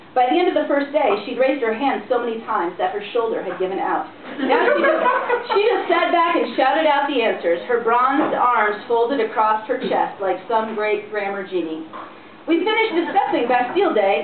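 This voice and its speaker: A woman's voice: on the high side, far from the mic. A woman giving a theatrical dramatic reading of a humorous story at a live cafe event. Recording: tinny, echoey, noisy room recording from afar. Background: audience laughter, room noise.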